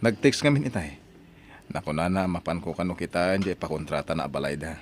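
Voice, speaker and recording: A man's voice: low-pitched, fairly quick, slight background noise, close to an everyday voice. A man with an Ilocano accent playing an easygoing working husband, speaking casually and a little hesitantly in an audio drama. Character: easygoing hardworking husband and father, a building contractor.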